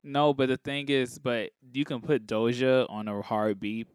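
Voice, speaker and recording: A man's voice: mid-range voice, unhurried, smooth, no echo, clean studio sound. A man co-hosting a casual pop culture podcast, explaining his points with examples as he goes. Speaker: podcast co-host. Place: podcast studio.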